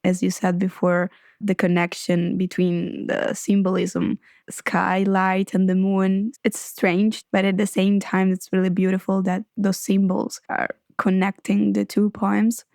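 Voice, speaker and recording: Young woman guest, Italian first language, earnest and even, smooth voice, little pitch movement. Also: dry recording, clean studio sound. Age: 20s. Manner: measured, earnest. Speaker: guest poet.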